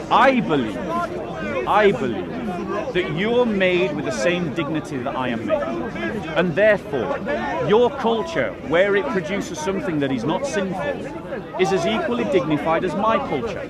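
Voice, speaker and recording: A man's voice: high voice, very animated, moderate pace. A man in an impromptu religious street debate outdoors, arguing insistently and repeating himself to press his point. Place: outdoors at a public speakers' corner. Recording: outdoors amid loud crowd chatter.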